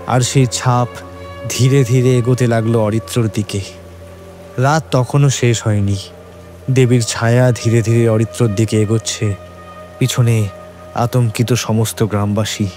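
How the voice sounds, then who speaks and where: A man's voice: medium pitch, darkish tone. Male horror-story narrator over music. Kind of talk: dramatic scripted horror narration. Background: background music.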